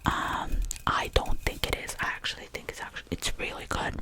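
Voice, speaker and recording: A woman, whispering softly through a chatty, rambling ASMR talk about her favourite things. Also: fairly quick. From Albania. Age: 20s. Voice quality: soft unvoiced whisper. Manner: rambling and chatty, with many fillers. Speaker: ASMR creator.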